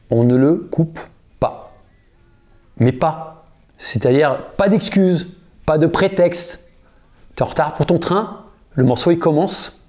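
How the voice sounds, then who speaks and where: A man in his thirties, a guitarist and singer, telling a story in a casual interview. He uses a lot of slang and often starts over or pauses with fillers. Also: fairly high pitch. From United States. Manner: relaxed, slangy, many fillers and restarts.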